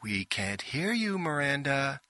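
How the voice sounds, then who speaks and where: A man's voice: mid-range voice, very animated, measured pace, bright, forceful tone, no room sound, studio quality, voice pushed up. A man voicing an imperious, exacting female ship intelligence who directs a play in an audiobook. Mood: brisk, directing.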